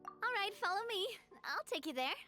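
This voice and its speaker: A woman's voice: extremely high pitch, very animated, moderate pace, pushed and bright, exaggerated voice. A woman voicing a confident, friendly young noblewoman in a game, talking in an easygoing, conversational way. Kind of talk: game dialogue. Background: game background music.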